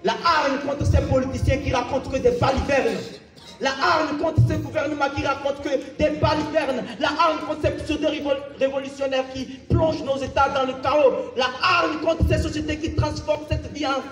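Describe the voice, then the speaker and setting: A man's voice: very high voice, steady tone, moderate pace, fairly bright tone. A man performing theatrical slam poetry on a live stage, declamatory, intense and anguished, with insistent repetition. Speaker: slam poet. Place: live festival stage, picked up from a distance. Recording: echoey live stage, distant mic. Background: flute music.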